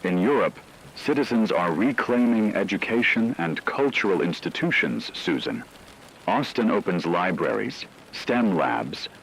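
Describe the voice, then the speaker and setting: A man playing an earnest radio news anchor, reading a scripted report in a formal, measured way. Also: deep voice, gravelly, exaggerated voice, rough recording. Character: male anchor of an end-of-the-world radio broadcast, earnest. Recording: hissy radio with rain-like noise.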